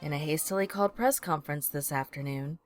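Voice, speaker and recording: Lowish female voice, composed newsreader played by a woman, scripted bulletin delivery, faint music, audio drama. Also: fast talker, lightly acted. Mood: neutral, official. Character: composed female radio or TV news reporter. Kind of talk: scripted newscast.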